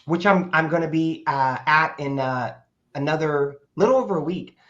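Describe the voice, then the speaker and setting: Hoarse raspy man, muffled lo-fi audio. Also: a little high, normal speed, a bit bright. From Portland, Oregon, USA. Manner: rambling with frequent fillers and restarts. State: hoarse from speaking over the weekend. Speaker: guest. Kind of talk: casual conversational storytelling. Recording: dull lo-fi audio.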